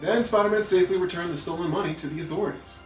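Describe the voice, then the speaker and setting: A man reading a children's story aloud in an animated narrating style with playful asides. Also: high voice, very fast. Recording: roomy echo with background music.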